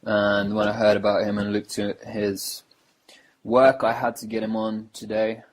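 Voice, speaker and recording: A man's voice: fairly low pitch, monotone, a little slow, low-quality recording. A man hosting a podcast, introducing his guest and asking him to tell his story. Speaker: podcast host.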